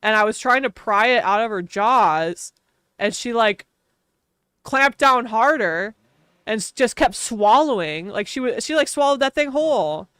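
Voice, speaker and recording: A woman's voice: on the high side, lively intonation, measured pace, fairly bright tone, smooth, dry recording, studio quality. A woman from Wisconsin with a Wisconsin accent, chatting casually while streaming a game, laughing often and saying "like" a lot. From Wisconsin, USA. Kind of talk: casual livestream chat.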